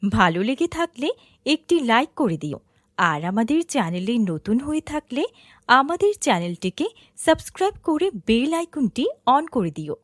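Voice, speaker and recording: A woman's voice: a little high, sing-song, moderate pace, dry recording, studio quality. A woman delivering a scripted channel announcement to viewers. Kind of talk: scripted sign-off asking for engagement.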